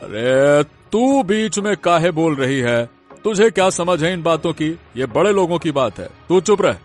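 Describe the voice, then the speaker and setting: A man's voice: a little high, animated, a little slow, faint music behind. A man voicing a proud, domineering middle-aged landowner in a cartoon village story, pompous and easily offended.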